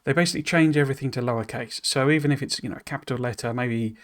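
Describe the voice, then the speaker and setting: A man of middle pitch reads a developer blog aloud and breaks off into relaxed explanations. His talk is hesitant and rambling, with many "you know"s, restarts and apologetic stumbles over foreign words. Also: racing. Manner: explains in loose asides full of "you know". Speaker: streamer reading a game dev blog. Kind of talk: reading aloud then explaining casually.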